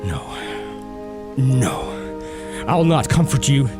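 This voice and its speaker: A man's voice: mid-range voice, very animated, takes it slowly. A man playing a bitter, passionate, grieving lover in a melodramatic audio drama, tormented and intense. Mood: bitter reproach. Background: dramatic music.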